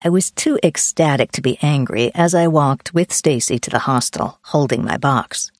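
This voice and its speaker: A woman's voice: a bass voice, moderate pace, dry recording, studio quality. A woman reading a first-person memoir as a scripted audiobook narration.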